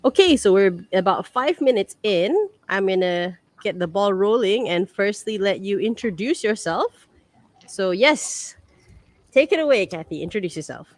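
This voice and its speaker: A woman's voice: medium pitch, lively intonation, normal speed, dry recording. A woman with a Malaysian English accent hosting a casual livestream interview, friendly and talkative, with many fillers. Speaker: livestream host.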